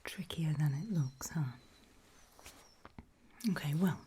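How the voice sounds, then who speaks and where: A British woman whispers slowly in a low, hushed voice, playing a calm gravedigger in an eerie ASMR roleplay. Her delivery is reassuring and matter-of-fact, with long pauses. Some noise sits behind the voice.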